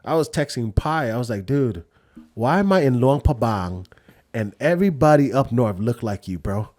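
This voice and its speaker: A man with an average-pitched voice talks in a relaxed podcast conversation. His wording is informal and slangy, peppered with "man" and "you know". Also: moderate pace. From United States. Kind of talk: casual podcast chat.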